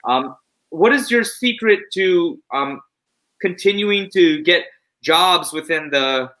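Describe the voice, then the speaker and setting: A woman hosting a casual interview, asking questions in a halting way as she searches for her words. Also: lowish voice, lively intonation, a bit bright. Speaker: interviewer host.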